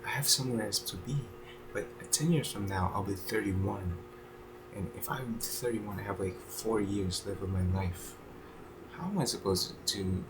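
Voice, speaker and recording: A man's voice: on the low side, background noise. Rambling young man, fillers and restarts. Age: 20s. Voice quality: halting, many fillers and restarts, swears casually. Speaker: vlogger. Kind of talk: casual unscripted video journal.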